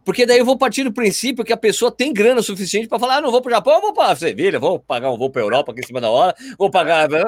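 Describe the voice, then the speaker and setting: A Brazilian man with a Brazilian Portuguese accent, hosting a casual chat, animated and opinionated, ranting in slang. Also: sits high, very fast, few pauses. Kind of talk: casual chat about running.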